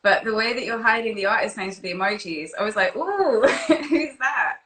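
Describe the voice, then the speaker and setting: Female interviewer, bright forward voice, informal and chatty, casual live-stream questioning, roomy echo. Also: a little high, animated, normal speed. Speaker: interviewer, host. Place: live-streamed video call.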